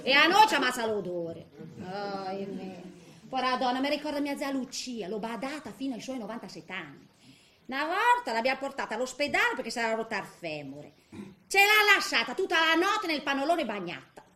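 A feisty old woman with a Tuscan accent grumbles through a comic theatre monologue. Her voice is bright and sharp, swooping up and down, with muttered asides and exclamations. It rings in a roomy, echoing space. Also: high-pitched, exaggerated voice. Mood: rueful, grumbling. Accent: Tuscan Italian. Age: older. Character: feisty, grumbling eighty-year-old woman in a nursing home.

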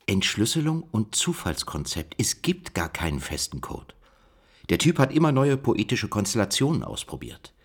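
Male code-breaker, radio play, low husky voice, inquisitive, sceptical, thinking aloud. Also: huge pitch swings, moderate pace, full character voice. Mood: curious, excited. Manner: questioning, reasoning aloud. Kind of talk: German radio play dialogue.

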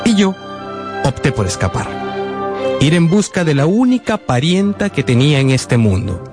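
A man's voice: on the high side, very animated, moderate pace. An actor playing an earnest, sentimental grown man narrating his boyhood in a radio drama. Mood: reflective. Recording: music behind the voice.

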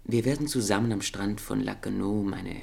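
An actor playing a wry, dejected French intellectual in a German radio play, speaking with irony and some hesitation. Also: male voice, fairly low pitch, moderate pace, vocal fry, a little mellow, husky, heavily acted. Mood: wry, subdued.

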